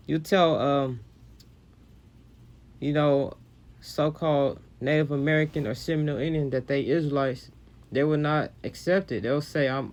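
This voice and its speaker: Brief: male online preacher, casual, repetitive commentary, mid pitch. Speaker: religious commentator. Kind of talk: sermon-like commentary on video clips.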